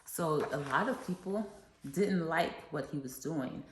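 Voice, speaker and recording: Echoey low-voiced woman reading for children. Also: animated, unhurried, slight background noise. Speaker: children's read-along reader and educator. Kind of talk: read-aloud of a famous speech with simple explanations for children. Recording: echoey, reverberant room sound.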